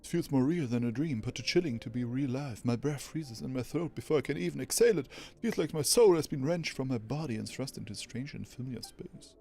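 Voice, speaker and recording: A man's voice: mid-range voice, expressive, a little fast, gravelly, few pauses, mellow, husky. A man voicing a weary young traveler hero in a video game, subdued and introspective. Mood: uneasy, haunted. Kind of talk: video game character acting.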